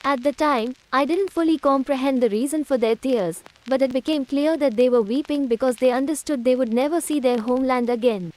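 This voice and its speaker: An older woman from India recounts her early years in a calm, measured way. Her wording is formal and careful, and her voice sits high. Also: low-quality recording. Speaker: interviewee recalling migration. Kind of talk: recorded personal recollection.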